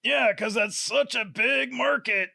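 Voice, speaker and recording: A piercing, forceful little comedy sidekick, with a heavily gravelly edge. The character is self-important and sarcastic, pitched extremely high, as in a sketch comedy advert. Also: male voice, measured pace, no room sound, studio quality, heavily acted. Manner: pompous, sarcastic.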